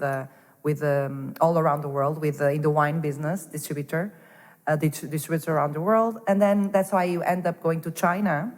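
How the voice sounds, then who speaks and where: A woman's voice: sits low, a little mellow, long reverb tail. A woman from Portugal, a guest in a casual interview who talks animatedly, restarts her sentences often and says "you know" a lot. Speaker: guest, angel investor. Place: startup hub space.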